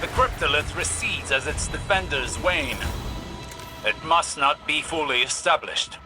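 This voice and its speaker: A man's voice: a little high, unhurried, natural voice. Man as a grave mentor warrior over music. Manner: solemn, formal, dramatic. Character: grave, vigilant male scholar-warrior mentor. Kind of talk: scripted video game acting.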